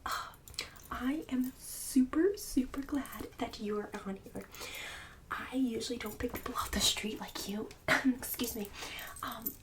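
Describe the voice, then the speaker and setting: A woman's voice: on the high side, a little slow, recorded from a distance, lightly acted. Woman playing a whispering, snobbish suburban queen bee. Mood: fake-sweet, bragging. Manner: condescending, catty, fake-sweet insults. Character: snobbish, bossy, bragging suburban woman hosting a book club. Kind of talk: ASMR roleplay, whispered and soft-spoken.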